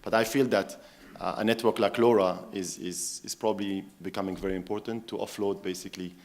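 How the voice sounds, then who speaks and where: A man's voice: sits low, fairly even intonation. A man on a conference panel explaining his view, listing examples one after another. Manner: listing items, measured explanation. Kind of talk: panel discussion answer.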